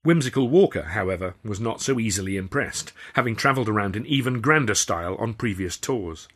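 Low, husky man reading a story. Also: expressive, brisk, fairly bright tone. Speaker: author reading his own novel. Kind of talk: scripted audiobook narration with character dialogue.

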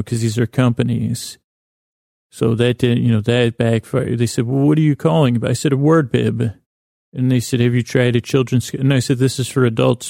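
A man hosting a sleep podcast with a meandering, stammering comic monologue, halting and deadpan, in a gravelly voice. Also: medium pitch, dry recording, studio quality. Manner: meandering, halting, stammering with many false starts. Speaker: podcast host.

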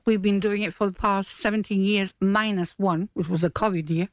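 A woman's voice: mid-range voice, little pitch movement, brisk, no echo, clean studio sound. A woman chatting as a guest in a radio interview. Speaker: radio guest, stage manager. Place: radio studio.

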